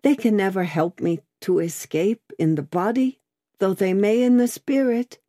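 A woman playing a broken old prisoner, slow and haunted. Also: medium pitch, mellow, no echo, studio quality, close to an everyday voice. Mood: remembering. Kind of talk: dramatic audiobook character reading.

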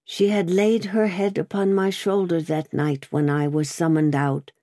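A woman playing a broken old prisoner, slow and haunted. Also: on the low side, little pitch movement, mellow, dry recording, clean studio sound, lightly acted. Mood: remembering. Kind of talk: dramatic audiobook character reading.

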